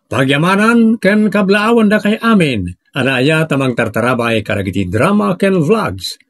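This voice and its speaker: A man with a Filipino accent announcing an audio drama in a scripted, theatrical, drawn-out style. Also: a little high, sing-song. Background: music.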